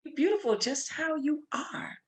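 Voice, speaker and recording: A woman's voice: high-pitched, expressive, normal speed. A woman speaking as an interview guest, emphatic and motivational, repeating short phrases for emphasis. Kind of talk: conversational interview.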